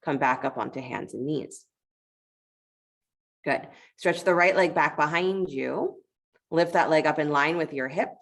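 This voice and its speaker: A woman teaching a guided yoga class, giving step-by-step movement cues in a conversational, encouraging manner with a creaky voice. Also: deep voice, a little slow, long pauses.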